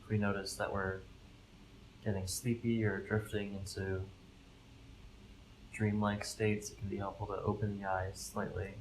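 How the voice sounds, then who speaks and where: A man leading a guided meditation, giving gentle, calm instructions in measured phrases with pauses between them. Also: lowish voice, low-quality recording. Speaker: mindfulness fellow, meditation guide. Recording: live recording with clear background noise.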